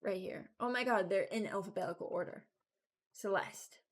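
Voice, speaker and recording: A woman's voice: mid-range voice, moderate pace. A woman giving casual, unscripted commentary as a video creator, hesitant and thinking aloud. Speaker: video creator ranking game voice lines.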